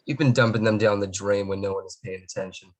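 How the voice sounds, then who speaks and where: A man's voice: fairly low pitch, fairly even intonation, quick pace, a little hiss or hum, natural voice. A man playing a persistent, probing young man in a drama table read.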